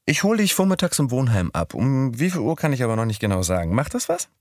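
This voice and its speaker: A man voicing a bold, whimsical young woman in an audiobook, with a raised, lively, teasing lilt. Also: mid-range voice, quick pace, dry recording, studio quality, voice pushed up. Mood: curious. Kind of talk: audiobook character voice.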